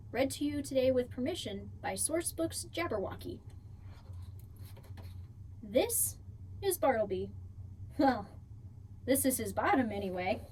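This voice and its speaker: A woman reading a picture book aloud for children in an expressive storytelling style, drawing out key words. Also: fairly high pitch. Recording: distant mic, faint background noise.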